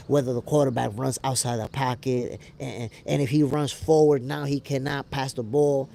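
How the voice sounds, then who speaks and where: An American man with a gravelly voice hosting a casual livestream, talking to viewers in an animated, slangy way. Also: medium pitch, a little hiss or hum. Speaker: host of a boxing show livestream, reading viewer comments. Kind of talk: casual livestream chat with audience.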